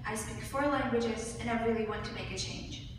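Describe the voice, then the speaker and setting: A young woman from Ukraine giving a student pitch presentation with hesitant pauses, recorded from far off in a large hall. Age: young adult. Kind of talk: rehearsed group pitch presentation. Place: large hall with seated audience. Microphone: far audience camera. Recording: echoey hall from far off, room noise.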